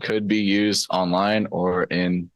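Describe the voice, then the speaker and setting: A man's voice: sits low, level tone, takes it slowly, no echo, lo-fi sound. A teenage boy giving a casual student presentation, loose and full of filler words. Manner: casual, lots of fillers, slangy.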